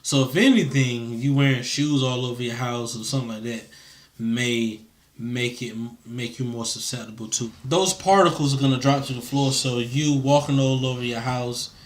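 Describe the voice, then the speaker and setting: A man's voice: mid-range voice, normal speed. A man talking casually as an interviewer, in an informal, slangy way, repeating short phrases for emphasis. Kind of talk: casual conversational chat.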